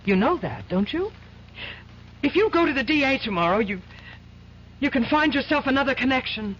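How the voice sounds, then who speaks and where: A woman's voice: high-pitched, lively intonation, moderate pace. An actress playing a playful, coaxing young woman who turns insistent, in a 1970s radio drama. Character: teasing, coaxing young woman, stubborn underneath. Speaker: lawyer's girlfriend and boss's daughter. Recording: dull lo-fi old radio broadcast with faint hiss.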